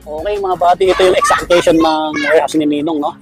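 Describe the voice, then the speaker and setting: Male vlogger, middle-aged, high voice, upbeat and chatty, casual market-tour delivery over music. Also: fairly even intonation, fast talker. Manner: upbeat, chatty, addresses viewers as friends. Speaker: vlogger, host. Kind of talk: casual shopping vlog narration. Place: busy public market and gadget stalls.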